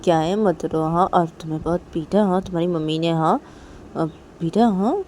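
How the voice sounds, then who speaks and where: Woman playing a mellow, coaxing mother. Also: fairly low pitch, normal speed, a little hiss or hum, lightly acted. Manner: coaxing, repetitive questioning. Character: Rui's mother, soothing a crying child.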